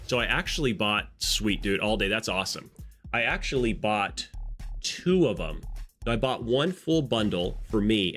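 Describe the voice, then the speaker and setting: Congested low-voiced man streaming live. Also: fairly bright tone. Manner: chatty, greets viewers by name, rambling asides. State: congested nose. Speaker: live streamer greeting chat. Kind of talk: casual live stream chat.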